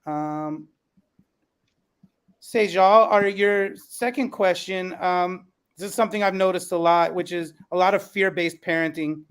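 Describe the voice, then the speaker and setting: A man with a distinctly high speaking pitch moderates a panel discussion as host. He affirms what his guests say and speaks in an encouraging manner. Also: unhurried, fairly bright tone, smooth. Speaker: podcast host and school teacher.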